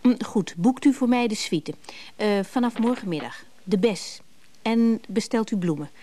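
A woman's voice: mid-range voice, unhurried, mellow, natural voice. An actress playing a curt, imperious wealthy woman in a radio play, giving clipped orders. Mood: curt, commanding.

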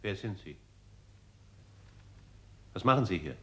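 An actor playing a wary, deferential manservant in a 1960s German crime radio play, speaking hesitantly. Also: male voice, low-pitched, expressive, takes it slowly, bright, forceful tone, heavily acted.

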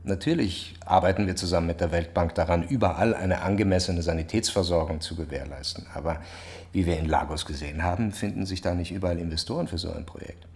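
A calculating ceo man, deep-ish and gravelly, measured, evasive, radio drama. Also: darkish tone. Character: smooth, evasive, calculating male water-company CEO. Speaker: corporate CEO.

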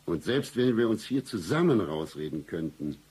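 An actor playing a cynical, deadpan hard-boiled private detective in a satirical noir radio play, with a husky voice. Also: male voice, normal speed, heavily acted. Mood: cold, menacing. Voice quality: very deep, husky, gravelly. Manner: deadpan, accusing, melodramatic.

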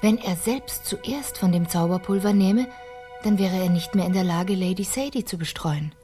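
A woman's voice: fairly low pitch, normal speed, warm tone, soft background music, lightly acted. A woman narrator telling a fairy tale in scripted radio play style.

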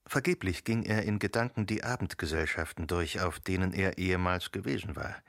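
Radio drama narrator, male: lowish and gravelly, deliberate dramatic delivery with weighted pauses, dry studio sound. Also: a bit bright, no echo.

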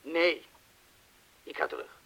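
Een acteur die in een hoorspel een gespannen, vastberaden inbreker speelt, kortaf en dringend, met een hese stem. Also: male voice, on the high side, wildly swooping pitch, slow, creaky, full character voice. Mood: grim, determined. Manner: terse, urgent, hushed at times. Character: tense, determined burglar who knows bombs, loyal to mate. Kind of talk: Dutch-language radio drama acting.

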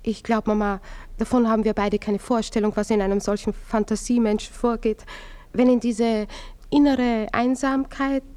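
A poised young wife, played by an actress, genteel and earnest. She speaks in a somewhat high voice, in the manner of a classic radio play. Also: flat delivery, moderate pace, dark tone, smooth, close to an everyday voice. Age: young adult.

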